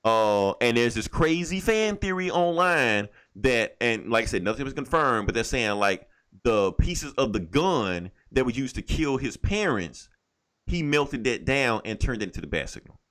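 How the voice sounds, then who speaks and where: A man's voice: medium pitch, sing-song, normal speed, fairly bright tone. A man co-hosting a casual podcast about comics and movies, talking in an emphatic, opinionated way with plenty of swearing. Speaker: podcast co-host.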